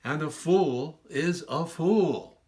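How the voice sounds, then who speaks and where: A man's voice: fairly high pitch, slow, darkish tone, pitched above the performer's own voice. A man voicing a preacher-like trickster bird in a storybook, declaiming rhymed riddles with emphasis. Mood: emphatic chanting.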